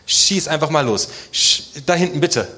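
A man's voice: fairly high pitch, lively intonation, moderate pace, pushed and bright. A man from Germany preaching to a congregation in a casual, conversational way, often posing rhetorical questions. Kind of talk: conversational sermon addressing a congregation.

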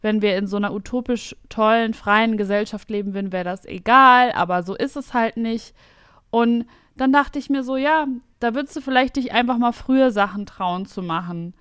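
A woman in her late twenties hosting a podcast, thinking out loud in casual chat with a reflective, self-mocking manner. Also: a little high, moderate pace, clean tone, no echo, studio quality. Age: late 20s. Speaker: podcast host.

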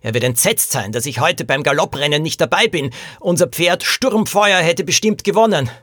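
A small, hurt jockey as played by a man reading a storybook, gasping and pleading in agitation. His voice sits high and swings widely in pitch, in a very dry recording. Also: expressive, normal speed, pushed and bright, no echo. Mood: agitated, distressed. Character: small injured male jockey, panicked and pleading.

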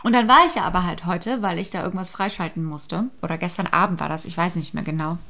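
A woman in her thirties chatting casually, telling animated stories with many restarts and quoted voices. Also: on the low side, quick pace, vocal fry. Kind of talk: casual chat.

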